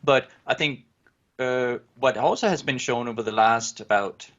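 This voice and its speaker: Man, Danish accent, academic interview guest, hesitant, lots of fillers, middle pitch, dull lo-fi audio. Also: normal speed, fairly bright tone. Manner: hesitant, many fillers and restarts. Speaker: guest researcher.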